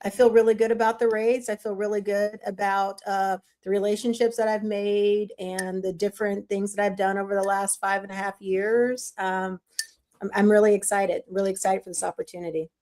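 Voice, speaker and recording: A woman running for office joins a panel chat as a guest. She speaks in a measured, unhurried way and often opens with a hesitant um. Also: mid-range voice, flat delivery, a little mellow. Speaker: Senate candidate guest.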